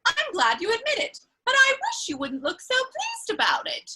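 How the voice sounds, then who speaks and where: A woman's voice: extremely high pitch, wildly swooping pitch, moderate pace, pushed and bright, a little hiss or hum, full character voice, rough recording. An actress playing a witty, teasing young society lady in a comedy of manners, with an arch, theatrical and playfully scolding manner. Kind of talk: stage comedy of manners, dramatic reading.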